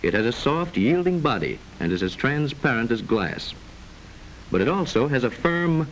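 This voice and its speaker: A man narrating a mid-century educational film in a formal, measured, didactic way with precise enunciation, on an old film soundtrack. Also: medium pitch, a little hiss or hum, low-quality recording.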